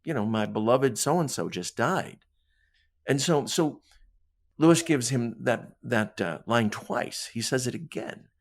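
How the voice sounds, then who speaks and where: A man's voice: medium pitch, animated, measured pace. A man speaking as a guest author on a podcast, discussing literature conversationally and emphatically, often checking in with "right?" and voicing imagined dialogue as he explains. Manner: emphatic, punctuates points with "right?".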